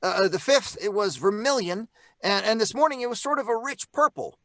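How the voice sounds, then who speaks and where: High-pitched, boyish, eager son. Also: male voice, animated, a little fast, a bit bright, no room sound, clean studio sound. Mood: eager, studious. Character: eager, youthful son, methodical ghost watcher. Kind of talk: old-time radio drama acting. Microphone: microphone on a video call.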